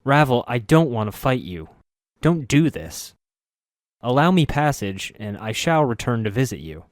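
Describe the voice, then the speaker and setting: A man's voice: mid-range voice, big pitch swings, measured pace, dry recording, studio quality, pitched above the performer's own voice. A man voicing a weary half-human male wanderer who speaks earnestly and pleadingly.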